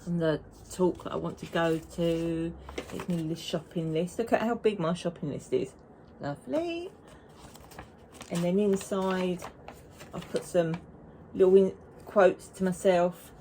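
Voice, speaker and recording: A woman's voice: fairly low pitch, animated, measured pace, dark tone, slight background noise, low-quality recording. A woman, chatting casually and rambling to camera as a knitting vlogger. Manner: chatty and rambling, with frequent restarts.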